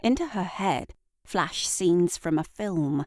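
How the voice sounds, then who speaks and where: A woman reading scripted literary narration for an audio drama in a deliberate, dramatic storytelling manner. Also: lowish voice, animated, a little mellow, dry recording, studio quality.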